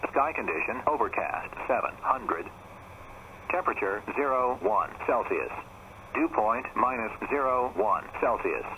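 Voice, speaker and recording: A man's voice: lowish voice, bright, forceful tone, poor audio. An automated male voice reading a clipped airport weather report over aircraft radio. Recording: radio transmission with faint noise.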